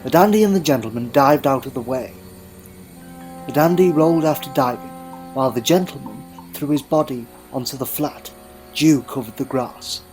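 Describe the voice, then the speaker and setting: A man's voice: mid-range voice, moderate pace, a little mellow, low-quality recording. A man reading scripted dramatic narration for an audio adventure story, with storybook delivery. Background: music.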